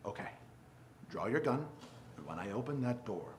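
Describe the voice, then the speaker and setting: Casting: brisk, authoritative cop male actor, expressive range, thinks out loud, old-time radio drama, reverberant room. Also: mid-range voice, background noise, natural voice. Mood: alert. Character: brisk, commanding male Chicago police detective. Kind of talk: 1940s radio drama acting. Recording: roomy theater sound with music underneath. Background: music.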